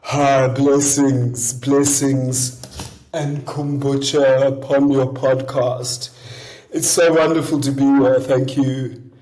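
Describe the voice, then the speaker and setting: A comedian voicing a self-styled male spiritual guru, speaking slowly and solemnly as if giving blessings. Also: mid-range voice, little pitch movement, warm tone, natural voice, poor audio. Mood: serene, beatific. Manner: slow, intimate, solemn blessing tone. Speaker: comedian in character.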